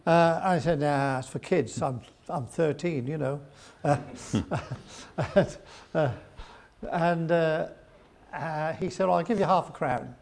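Older man, gravelly voice, fairly high pitch; rambling, chuckling interview guest. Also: takes it slowly, darkish tone. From England. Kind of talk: storytelling interview.

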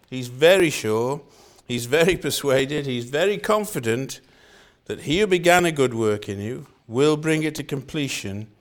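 Older Welsh male preacher, earnest, repeats lines for emphasis, mid-pitched voice. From Wales. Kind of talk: sermon.

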